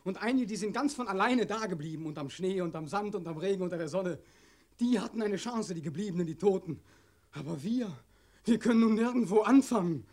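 Bitter young war returnee, higher-pitched. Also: male voice, animated, fairly quick, a little mellow. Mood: desperate, accusing. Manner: sardonic, plaintive. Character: bitter, desperate young German soldier home from war. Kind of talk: 1940s German radio play acting. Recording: vintage 1940s radio broadcast recording.

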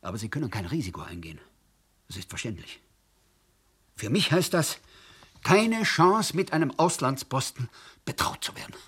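An actor playing a troubled middle-aged husband in a radio play, speaking haltingly with fillers. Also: male voice, medium pitch, lively intonation, a bit bright, slightly rough.

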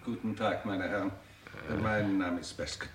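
An actor playing a courteous male lawyer in a crime radio play, husky, formal and precise. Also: deep voice, steady tone, normal speed, heavy background noise, close to an everyday voice. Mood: formal, polite.

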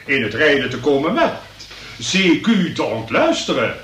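Pompous magistrate in an echoey room. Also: male voice, fairly high pitch, sing-song, moderate pace, fairly bright tone. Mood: lecturing. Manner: pompous, verbose, archaic legal phrasing. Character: pompous, long-winded male examining magistrate. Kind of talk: comic radio play acting, courtroom oratory. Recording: noisy, echoey room, distant from mic.

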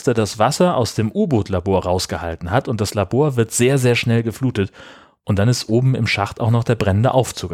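A man's voice: medium pitch, darkish tone, dry recording, studio quality. A man hosting a podcast, narrating a scripted summary of a film. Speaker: podcast host.

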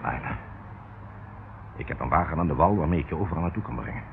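A man's voice: low voice, expressive, quick pace, creaky. An actor in his fifties playing a coaxing, evasive ship captain in a radio drama. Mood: coaxing, secretive. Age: 50s. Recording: tinny band-limited old radio recording, noisy.

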